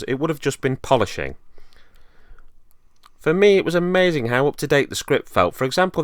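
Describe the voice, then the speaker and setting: A man's voice: medium pitch, fairly bright tone, clean tone, no echo, studio quality. A man presenting a semi-scripted review as host, speaking in an earnest, enthusiastic way. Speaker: host and reviewer of horror films.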